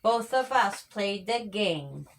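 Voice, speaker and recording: A woman's voice: mid-range voice, expressive, slow pace, slight background noise. A woman reading her own children's story aloud in rhyming verse, with a sing-song cadence.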